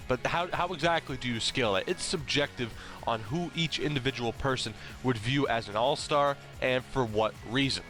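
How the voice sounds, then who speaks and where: A man's voice: medium pitch, fairly bright tone. A young man who is sick and slightly nasal, bluntly ranting about a trade in a casual, swearing monologue. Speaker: hockey commentator vlogger. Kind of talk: opinionated casual rant.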